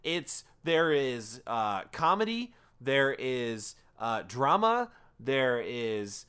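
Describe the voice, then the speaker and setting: A man's voice: mid-range voice, sing-song, drawn-out words, fairly bright tone, clear, resonant tone. A man hosting a casual podcast in an ordinary mid-range voice. He rambles through his intro, filling gaps with "uh" and joking along the way. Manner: rambling, many filler "uh"s, playful. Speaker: podcast host.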